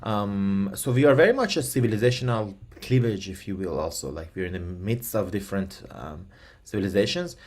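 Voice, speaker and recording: A man's voice: on the low side, expressive, brisk, a little mellow. A man from Iran whose first language is Persian, answering interview questions as an expert with frequent ums and restarts. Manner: hesitant, many ums and restarts. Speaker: guest expert.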